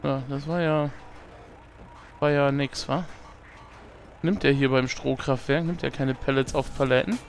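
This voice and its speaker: A hoarse man hosting a let's play, chatting casually and self-mockingly, thinking aloud with fillers as he plays. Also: medium pitch, steady tone, unhurried, long pauses, a little hiss or hum. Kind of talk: casual gameplay commentary.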